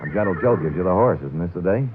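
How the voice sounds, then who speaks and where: Hard-boiled male detective, sardonic and laconic, rapid delivery, muffled old-time radio sound. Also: low voice, noisy background. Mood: calm, easy. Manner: wry, laconic, deadpan. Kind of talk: 1940s-50s radio detective drama narration and dialogue. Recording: muffled vintage radio recording, music behind.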